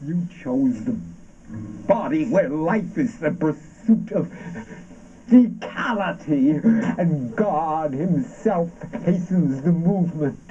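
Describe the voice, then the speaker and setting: An actor playing a fervent, accusing orator in a surreal avant-garde radio play, declaiming haltingly with drawn-out words. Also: male voice, a little high, mellow, slight background noise. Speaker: stage actor. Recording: dull lo-fi stage performance recording.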